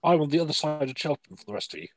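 A man with an English accent, talking a little haltingly as a player in a tabletop game. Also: fairly high pitch, very animated. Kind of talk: casual in-game recap.

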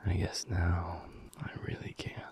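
Man acting a whispering, gravelly werewolf boyfriend. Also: low-pitched, completely flat delivery, heavily acted. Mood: playful teasing. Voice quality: breathy, husky near-whisper. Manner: playful teasing with soft chuckles and unhurried pauses. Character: teasing, affectionate alpha werewolf boyfriend, low and intimate. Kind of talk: intimate boyfriend audio roleplay.

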